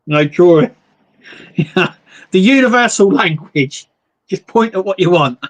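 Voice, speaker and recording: A man co-hosting a live stream, chatting in a relaxed, jokey way about model building. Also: high voice, measured pace. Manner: relaxed, jokey, explains hobby builds with restarts. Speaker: livestream co-host, model builder. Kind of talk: casual chat.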